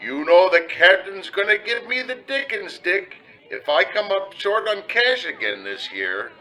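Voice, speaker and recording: A man playing an excitable, grumbling policeman in a comic noir radio drama, animated and full of folksy slang. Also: high-pitched, a little slow, heavily acted, low-quality recording. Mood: nagging. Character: excitable, grumbling male policeman, detective's pal.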